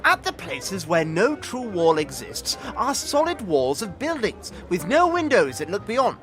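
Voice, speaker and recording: A man's voice: high voice, wildly swooping pitch, unhurried, a bit bright, full character voice. A man playing a nervous, bookish records clerk in an audio drama, speaking formally and apologetically with a fussy stammer. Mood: earnest, intrigued. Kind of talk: acted audio drama dialogue. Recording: music under the voice.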